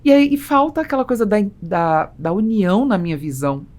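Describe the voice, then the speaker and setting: A woman's voice: mid-range voice, big pitch swings, fairly quick, darkish tone. A Brazilian woman hosting a business podcast, explaining her opinions in a conversational way. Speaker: podcast host and interviewer.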